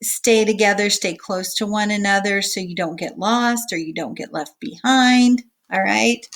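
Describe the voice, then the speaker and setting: Female teacher, medium pitch, read-aloud for kids mixed with casual, simple explanations and small check-ins with the listeners. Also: unhurried. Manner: explains simply, checking in with okay and all right. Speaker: teacher reading a picture book aloud.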